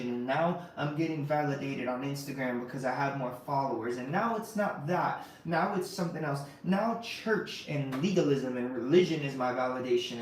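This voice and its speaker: A man's voice: on the high side, a little mellow, slight background noise. A man hosting a podcast, giving an impassioned faith-based motivational talk and driving points home with repeated phrases and rhetorical questions. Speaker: podcast host. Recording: very echoey room, mic at a distance.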